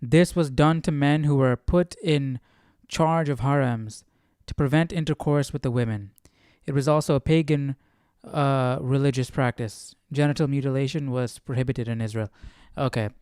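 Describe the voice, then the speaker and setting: A man's voice: mid-range voice, normal speed, darkish tone, clean tone, dry recording, studio quality. A man hosting a casual Bible study stream, thinking aloud in a questioning way and often repeating and rephrasing himself as he reasons. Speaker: livestream Bible study host.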